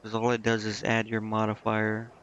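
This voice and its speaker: A man's voice: fairly low pitch, completely flat delivery, normal speed, a bit bright. A man giving casual gameplay commentary, thinking aloud and questioning himself as he goes. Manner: musing, questioning himself as he reads menus. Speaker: video game streamer. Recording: muffled lo-fi mic with clear background noise.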